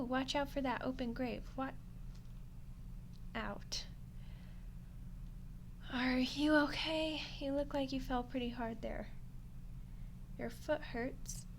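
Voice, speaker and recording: A woman voicing a friendly, lonely undead skeleton girl in an ASMR roleplay, chatting casually and awkwardly to the listener. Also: high voice. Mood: concerned, teasing. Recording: clear background noise and ambience.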